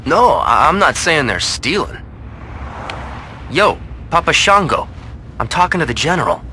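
Jumpy, animated street dealer. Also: male voice, a little high, a little slow, exaggerated voice. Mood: nervous, defensive. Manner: informal slang, hesitant, protesting. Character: nervous, defensive street dealer and DJ. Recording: clearly noisy, car interior ambience.

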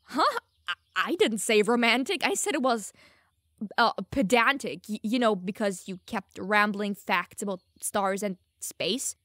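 A woman's voice: medium pitch, animated, unhurried, a bit bright, no room sound, studio quality. A young woman playing a teasing, smug, secretly lovestruck elf mage in an audio roleplay, playful and easily flustered. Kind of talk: audio roleplay addressed to the listener.

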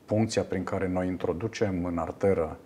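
A man in his fifties, a radiologist guest in a TV studio interview, explaining a procedure in a measured way with frequent fillers. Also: low-pitched, steady tone, dark tone, a little hiss or hum. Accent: Romanian. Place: television studio.

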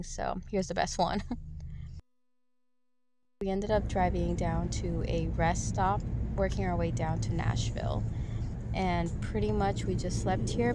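A young woman in her twenties, narrating a travel vlog in a relaxed, matter-of-fact way, filming herself on a phone inside a parked truck. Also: on the low side, noisy background. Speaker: travel vlogger.

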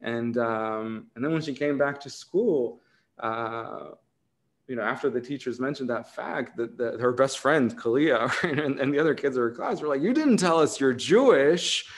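A man's voice: mid-range voice, normal speed. Israeli man musing on a video call. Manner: thoughtful, many fillers and restarts, frequent "right?". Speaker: author in conversation. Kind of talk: academic video-call conversation. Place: home room indoors.

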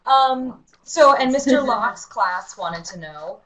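A woman in her thirties, a museum educator, clearly explaining terms and passing on students' questions from an indoor exhibit room. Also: fairly high pitch, lively intonation, slow, a bit bright, poor audio. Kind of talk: webinar moderating.

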